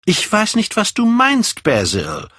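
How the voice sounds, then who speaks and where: A man's voice: mid-range voice, sing-song, measured pace, a bit bright, breathy edge, no room sound, clean studio sound, pitched above the performer's own voice, heavily acted. A man voicing a vain, callous young aesthete in a haughty, declamatory audiobook style. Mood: agitated. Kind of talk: dramatic audiobook character reading.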